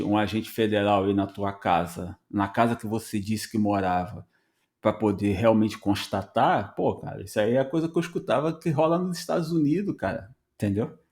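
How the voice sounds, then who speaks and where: A Brazilian man hosting a podcast, telling stories in a casual, animated way and acting out bits of dialogue. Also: lowish voice. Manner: conversational, animated storytelling with voiced dialogue. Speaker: podcast host.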